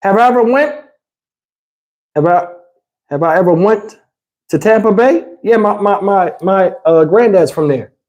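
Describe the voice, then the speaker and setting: High voiced guy on a livestream, slangy rambling chat w viewers, swears a lot. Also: expressive, unhurried, pauses often, darkish tone, muffled recording. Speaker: livestream host.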